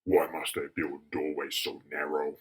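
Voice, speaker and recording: A man voicing a huge, gruff, world-weary monster of a man with a gravelly voice in a dramatic comic-book reading. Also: extremely low pitch, level tone, moderate pace, noisy background, pitched below the performer's own voice. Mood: irritated.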